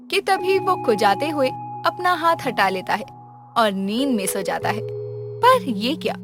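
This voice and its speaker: A woman narrating a scripted village story in a dramatic storytelling style. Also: high voice.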